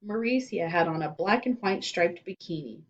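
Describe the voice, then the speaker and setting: A woman storyteller reading a children's book aloud in animated scripted narration. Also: fairly low pitch, normal speed, dull audio.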